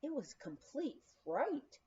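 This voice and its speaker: A woman's voice: high voice, big pitch swings, slow, darkish tone, muffled recording. A woman reading a memoir aloud as a storyteller, with emphatic phrasing. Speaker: audiobook reader.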